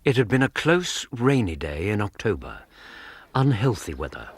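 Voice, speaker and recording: A man's voice: on the low side, big pitch swings, moderate pace, husky, dry recording. An actor playing a Victorian doctor narrating his recollections with an English accent in a radio drama. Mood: reminiscent. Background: prominent music.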